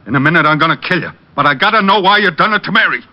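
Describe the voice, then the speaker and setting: An actor playing a vengeful gangster boss in an old radio crime drama, with tough, slangy, threatening talk. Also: male voice, a little high, animated, racing, close to an everyday voice. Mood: bitter, grieving. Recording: muffled old radio recording.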